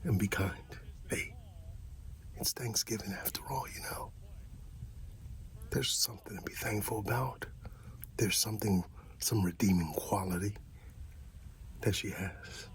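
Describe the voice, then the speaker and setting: Deep, slow, hushed ASMR man. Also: steady tone, slight background noise. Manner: hushed, half-whispered, unhurried with long pauses. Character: supportive, gently encouraging male friend. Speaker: ASMR roleplay performer. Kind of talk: soft ASMR roleplay, reading aloud and comforting a friend.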